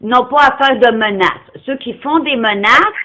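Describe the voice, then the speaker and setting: A woman's voice: a little high, moderate pace. A non-francophone woman speaking Quebec French, arguing insistently and confrontationally on a phone call, often cutting in. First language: not French, non-francophone. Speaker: caller confronting someone over animal welfare. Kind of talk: argumentative phone conversation.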